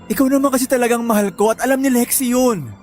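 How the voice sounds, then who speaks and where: A man's voice: extremely high pitch, steady tone, fast, darkish tone. A guilty young boyfriend with a Filipino accent. He pleads and explains in an earnest, worn-out way, in radio drama style over faint background music. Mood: apologetic. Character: guilty, apologetic young boyfriend, weary and self-justifying.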